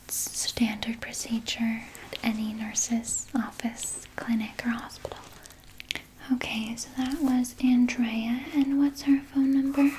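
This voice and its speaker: A woman playing a soothing, evasive vampire nurse in a soft, breathy ASMR whisper, gently coaxing and sweetly sinister. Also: on the high side, little pitch movement, unhurried, a little hiss or hum, lightly acted, poor audio. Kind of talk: whispered ASMR personal attention roleplay.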